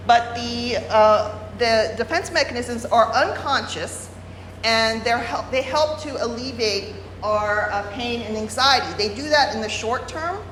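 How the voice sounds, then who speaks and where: A woman's voice: fairly high pitch, a bit bright, slight background noise. A woman presenting a workshop lecture at a retreat, explaining step by step without a microphone. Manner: explanatory, many fillers and restarts. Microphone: no microphone, room recording. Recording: roomy hall, unamplified voice.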